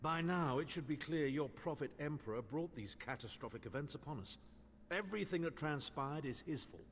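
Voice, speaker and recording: An actor playing a haughty older imperial noble mage who talks down to others with dry sarcasm, in game dialogue. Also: male voice, fairly high pitch, lively intonation, fairly quick, in a large hall, a little hiss or hum. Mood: condescending. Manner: condescending, dryly sardonic.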